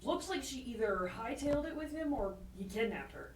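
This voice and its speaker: A woman's voice: on the high side, animated, a little fast, natural voice. A woman playing a suspicious informant in an audio drama. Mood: suspicious, urgent. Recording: echoey, distant mic with hiss.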